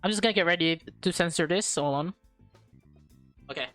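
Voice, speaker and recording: A woman's voice: lowish voice, brisk, fairly bright tone. Woman hosting playful game commentary over music. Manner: informal, playful reacting, stumbles while reading. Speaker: gaming video host. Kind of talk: casual playthrough commentary, reading dialogue aloud. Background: background music.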